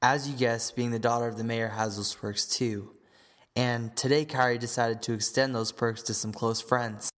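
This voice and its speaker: A man's voice: mid-range voice, fairly even intonation, normal speed, a bit bright. A man narrating a scripted story as the narrator of an audio drama.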